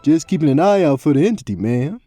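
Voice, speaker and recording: A man's voice: on the high side, very animated, fast talker, dark tone, faint music behind. A man playing a nervous, polite, scaly reptilian priest who stammers and talks in a folksy way in a fantasy audio drama. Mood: nervous, defensive. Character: nervous, evasive, polite male reptilian priest with scales and tail.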